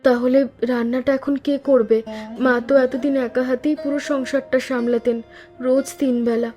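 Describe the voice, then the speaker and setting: An earnest daughter-in-law and mother played by a woman in a family cartoon. Her voice is fairly high and she sounds unsure of herself, with music underneath. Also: level tone, moderate pace, warm tone, close to an everyday voice. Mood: worried. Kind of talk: animated family story dialogue.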